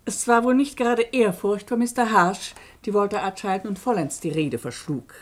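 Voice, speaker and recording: A woman playing a dryly ironic jurist who narrates and lectures deliberately in a German radio play. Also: medium pitch, a little hiss or hum, natural voice. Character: sharp, lecturing female jurist telling a case to students.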